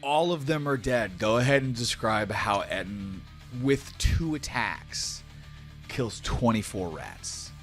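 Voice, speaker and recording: Male game master, low and unhurried, casual slangy storyteller of fantasy combat, music underneath. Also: animated, fairly bright tone. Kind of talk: narrating a tabletop fantasy game.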